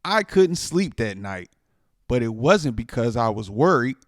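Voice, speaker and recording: A man reading a children's picture book aloud in an expressive way, stretching words for dramatic emphasis. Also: mid-range voice, unhurried, no echo, clean studio sound. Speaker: storytime reader.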